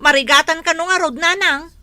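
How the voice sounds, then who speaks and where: A woman's voice: very high-pitched, brisk, no echo, heavily acted. A woman acting a strict, scolding village wife in an Ilocano comedy drama, with an Ilocano accent. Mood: complaining. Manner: scolding, forceful.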